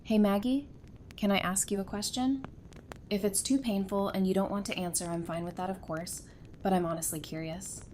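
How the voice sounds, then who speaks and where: A woman's voice: fairly even intonation, normal speed, darkish tone, natural voice. A woman playing a supportive, frank, loyal friend in an audio drama, with a reassuring and candid delivery. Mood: curious. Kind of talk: fan audio drama dialogue. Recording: background noise with low rumble.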